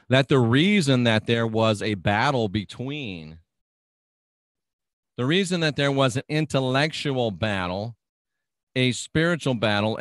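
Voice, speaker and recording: A man's voice: medium pitch, fairly bright tone, no echo, studio quality. An American man hosting a radio show, delivering an insistent, preachy monologue and stacking up repeated phrases for emphasis.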